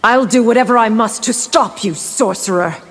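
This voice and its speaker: A woman's voice: fairly high pitch, normal speed, lightly acted. A woman playing a proud, resolute warrior princess in a fighting game, stern and disdainful. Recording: noisy game backdrop.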